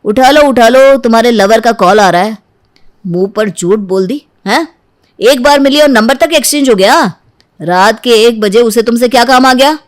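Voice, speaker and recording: A woman voicing an affectionate but jealous and sarcastic young husband in an animated story. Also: on the high side, moderate pace, natural voice. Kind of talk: animated story dialogue in Hindi.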